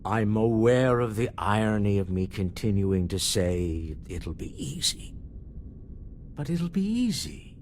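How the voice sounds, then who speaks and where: A man's voice: lowish voice, very animated, measured pace, darkish tone, slight background noise. An actor playing a male companion with dry, self-aware humor. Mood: wry. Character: wry, upbeat male companion, middle-aged.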